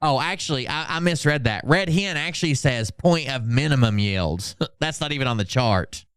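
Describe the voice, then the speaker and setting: A man's voice: medium pitch, expressive, normal speed, a bit bright, clean tone, dry recording, studio quality. A man hosting a livestream, giving mocking, sarcastic commentary on social media posts in casual, sweary chat. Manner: sarcastic, sweary, mock-dramatic when reading posts out. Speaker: livestream host.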